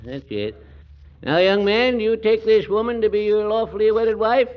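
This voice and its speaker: A man's voice: sits high, moderate pace, close to an everyday voice. A man playing a bumbling chuckling judge. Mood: flustered. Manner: fumbling, chuckling, trails off with "uh". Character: bumbling comic male judge performing a wedding. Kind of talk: 1940s radio comedy acting. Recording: lo-fi 1940s radio broadcast.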